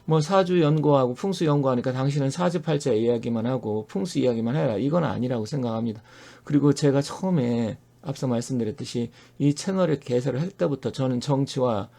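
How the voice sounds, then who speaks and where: A middle-aged man talks straight to his viewers in a gravelly, dark, mellow voice. He explains and argues earnestly, stopping often to gather his thoughts. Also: mid-range voice. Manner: earnest, opinionated, explanatory, with frequent pauses and fillers. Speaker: fortune-telling channel host. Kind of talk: solo monologue to camera.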